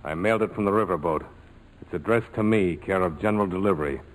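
An actor playing a tough, sardonic major in 1940s radio drama style, wisecracking and defiant. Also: male voice, low voice, fast, lightly acted, low-quality recording. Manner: wisecracking, hard-boiled.

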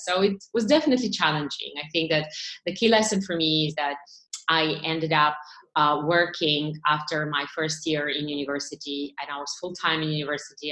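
A woman in her forties from Bulgaria, a founder answering interview questions in a measured way, with small laughs and fillers. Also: low voice, fairly bright tone, roomy sound, poor audio. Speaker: startup founder and CEO.

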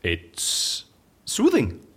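A man acting an eccentric, petulant office schemer in a comic audio drama, with a theatrical, whimsical and pedantic delivery. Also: drawn-out words. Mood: genial.

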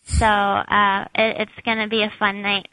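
A woman with a squeaky high voice, a shop owner chatting as an interview guest, with lots of fillers. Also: monotone, a little slow, sharp tone, dry recording, rough recording. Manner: chatty, many fillers, laughs at herself. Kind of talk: casual interview answers.

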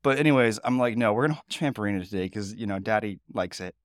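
A man in his thirties chats casually on a podcast, rambling a little with plenty of fillers. His voice sits low and sounds groggy from the early morning. The sound is dry and close. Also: fast talker, no room sound. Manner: relaxed, rambling, chatty with fillers. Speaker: podcast co-host. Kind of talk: casual chat.

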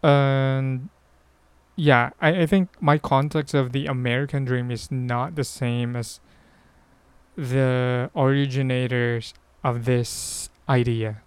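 A man's voice: mid-range voice, fairly even intonation, slow, smooth, no echo. A man with a Filipino accent hosting a casual solo podcast, answering questions in a hesitant, rambling way as he thinks aloud. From Philippines. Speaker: podcast host.

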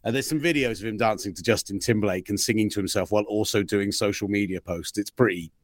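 A man's voice: on the low side, quick pace, no room sound, studio quality. A man hosting a sports podcast, telling long rambling stories in a casual chat. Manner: chatty rambling storyteller, animated. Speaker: podcast host.

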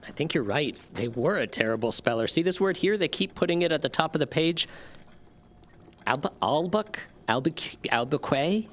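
An actress playing an eager, naive newcomer in an audio drama, hesitant and stammering with curiosity. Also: female voice, deep voice, expressive, normal speed. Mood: excited.

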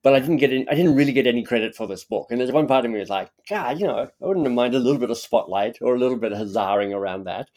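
A man hosting a podcast, talking in a relaxed, chatty, self-deprecating way. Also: medium pitch, rapid-fire, few pauses. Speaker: podcast host. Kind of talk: casual conversation.

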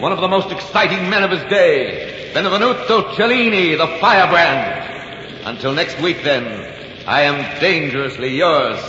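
An actor playing Adventure personified, a dashing, mysterious host, grand and declamatory in a 1940s radio drama. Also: male voice, fairly high pitch, expressive, measured pace. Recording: echoing, muffled, noisy 1940s radio recording.

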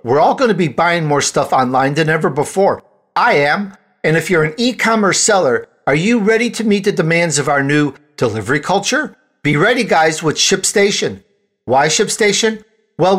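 A man's voice: fairly high pitch, animated, moderate pace, a bit bright. A man hosting a show, reading scripted announcements and sponsor copy addressed directly to listeners. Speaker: show host. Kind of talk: scripted host announcement and ad read.

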